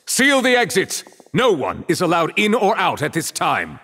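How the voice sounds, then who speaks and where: A man playing a composed, authoritative official in a video game, speaking in a formal, measured manner. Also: high voice, huge pitch swings, pushed and bright, a little hiss or hum, heavily acted. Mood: commanding. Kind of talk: dramatic video game acting.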